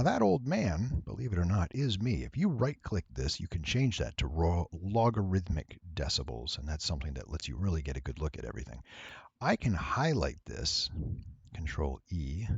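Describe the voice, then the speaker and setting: Casting: male tutorial host, low and husky, slightly nasal, unhurried and playful, dry close recording. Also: very animated, no echo, muffled recording. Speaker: voice actor giving a software tutorial. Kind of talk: casual screen-recorded tutorial.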